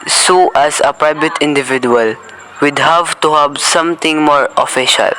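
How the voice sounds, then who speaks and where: A stiff, rule-bound telephone official who answers in a flat, procedural way, voiced by a student actor. The voice is bright and clipped. Faint hiss sits behind it in a school radio play. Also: medium pitch, moderate pace, lightly acted, low-quality recording. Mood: officious. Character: formal telephone operator and police desk officer, adult.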